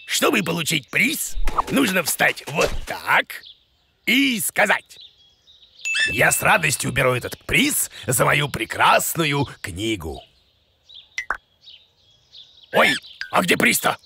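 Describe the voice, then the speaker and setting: An actor playing a boastful, clueless bearded creature teacher in a children's cartoon, exclaiming theatrically and self-importantly. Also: male voice, fairly high pitch, wildly swooping pitch, slow, creaky, background noise, exaggerated voice. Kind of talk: animated children's cartoon voice acting.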